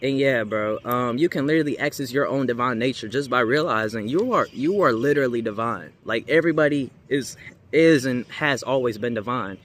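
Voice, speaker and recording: A man's voice: medium pitch, a little fast, a bit bright. A young American man talking casually and off the cuff to viewers, in a slangy, rambling way with emphatic repetition. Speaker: spiritual video creator. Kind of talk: casual unscripted monologue to viewers. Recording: clearly noisy background.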